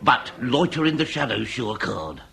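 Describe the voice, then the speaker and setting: An actor playing a jovial, shrewd period police inspector with a wordy, old-fashioned way of talking in a comic radio drama. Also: male voice, medium pitch, very animated, moderate pace, vocal fry, a crowd in the background. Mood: shrewd, genial. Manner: old-fashioned wordy speech, hesitant asides, chuckling.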